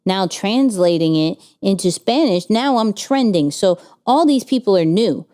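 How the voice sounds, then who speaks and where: A woman's voice: medium pitch, lively intonation, unhurried, mellow. A woman co-hosting a casual business podcast, talking energetically and piling up rhetorical questions. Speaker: podcast co-host.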